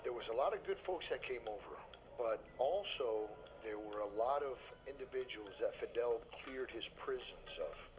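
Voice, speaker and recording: A man's voice: medium pitch, expressive, normal speed, a bit bright. A man in his sixties, a retired police officer, speaking in a documentary interview in an emphatic, matter-of-fact way. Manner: emphatic, matter-of-fact, asks and answers himself. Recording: interview with music bed behind.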